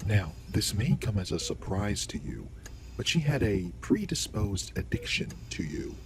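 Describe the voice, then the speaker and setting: A man's voice: fairly low pitch, very animated, a little slow. A man playing a courteous, authoritative alien doctor in an audio roleplay, speaking in a formal, explanatory way.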